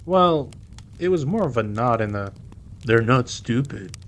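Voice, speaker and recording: Guy playing a smooth teasing mafia boss man, playful, audio rp, little bit of background noise. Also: medium pitch, expressive, a little slow, natural voice. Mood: relaxed, fond. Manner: teasing, smooth, code-switches into Spanish. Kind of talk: audio roleplay drama acting.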